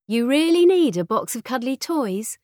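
A woman's voice: medium pitch, very animated, normal speed, fairly bright tone, dry recording, studio quality, pitched above the performer's own voice. A woman voicing a fretful middle-aged mother chivvying her teenage daughter, as dialogue in an audiobook. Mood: impatient.

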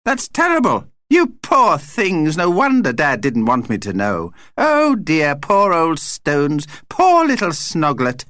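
Tiny theatrical storybook vampire, played by a male narrator: high, swooping delivery, opinionated and effusive. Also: wildly swooping pitch, takes it slowly, hardly any pauses, fairly bright tone, no echo, studio quality, exaggerated voice. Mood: horrified pity. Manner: effusive, dramatic exclamations.

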